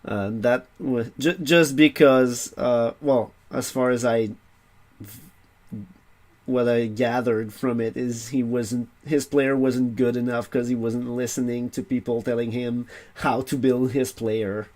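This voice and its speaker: A man co-hosting a podcast in casual chat, halting with many fillers and restarts. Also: mid-range voice, steady tone, darkish tone. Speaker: podcast co-host.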